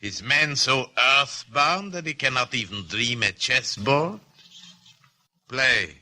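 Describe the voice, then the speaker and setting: An actor playing a supremely bored, cruel enemy general in a 1950 radio drama, clipped and disdainful. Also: male voice, medium pitch, expressive, slow pace, pushed and bright, exaggerated voice. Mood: imperious.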